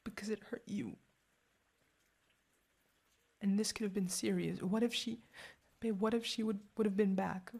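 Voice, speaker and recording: A woman's voice: mid-range voice, fairly even intonation, moderate pace, vocal fry, long pauses, a little mellow, breathy edge. A young woman playing a protective girlfriend who is furious and hurt, mostly whispering with stumbling, intense outbursts in an ASMR roleplay. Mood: hurt, loving.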